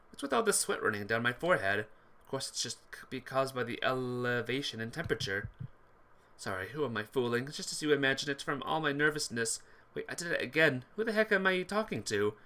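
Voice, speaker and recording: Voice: medium pitch, pushed and bright. A streamer reading a visual novel aloud expressively, with casual asides to viewers. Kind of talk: game playthrough narration with chat asides.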